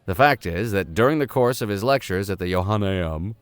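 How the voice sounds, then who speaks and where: A man reading classic literature aloud in an expressive, theatrical and playful way. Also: lowish voice, fairly quick, smooth, no room sound. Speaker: audiobook reader. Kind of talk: expressive narration of classic literature with casual asides.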